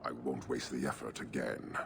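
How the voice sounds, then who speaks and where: Cold scornful giant warrior boss for a game, super deep and gravelly, very formal. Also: male voice, fairly even intonation. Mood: cold disdain. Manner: formal, terse, deliberate. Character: stern alien warrior leader, huge, contemptuous, coldly menacing. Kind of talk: video game voice acting. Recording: clearly noisy ambient background.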